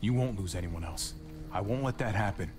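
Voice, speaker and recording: A man playing a calm, earnest, plain-spoken survivor in a naturalistic video game drama. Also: on the low side, fairly quick, background noise, close to an everyday voice. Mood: reassuring. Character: level-headed, reassuring adult male survivor, quietly determined. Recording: music behind the voice.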